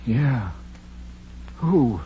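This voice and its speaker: A folksy old country man talks slowly and haltingly, with wide swings of pitch. He sounds rustic and bewildered in old radio horror style, on a dull, noisy recording. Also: lively intonation. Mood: uneasy, bewildered. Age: older.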